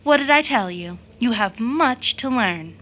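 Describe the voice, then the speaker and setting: A woman voicing a tiny, kindly old storybook lady, brisk and slightly sharp, with a pleasant little voice. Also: a little high, expressive.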